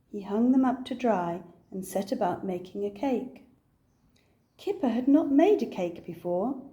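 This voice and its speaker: An older woman reading a children's picture book aloud as a storyteller, with a deliberate, expressive delivery. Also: mid-range voice.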